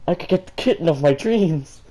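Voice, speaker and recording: A man voicing a lovestruck, excitable teenage girl in an amateur storybook reading, with eager, casual delivery. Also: a little high, brisk, pitched above the performer's own voice, lightly acted. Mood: giddy, nervous.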